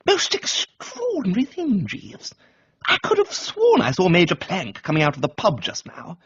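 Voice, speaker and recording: Excitable posh English young gentleman, a man acting, slangy, chatty, swooping pitch and husky edge. Comic radio. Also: normal speed, no echo, dull audio, full character voice. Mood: startled. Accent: upper-class English. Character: cheerful, dim, excitable young upper-class English gentleman.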